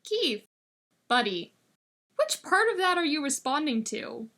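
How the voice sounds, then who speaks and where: A woman's voice: high-pitched, big pitch swings, a little slow, fairly bright tone, voice pushed up, full character voice. A woman voicing a laid-back, kind young male student in a story, speaking in a casual, blunt, slangy way. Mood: kind, solemn.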